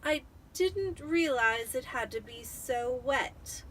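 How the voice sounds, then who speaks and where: A woman's voice: sits high, animated, slow pace, fairly bright tone, heavily acted. A tipsy woman voicing a cheeky, dry-witted boy in a storybook reading. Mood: puzzled.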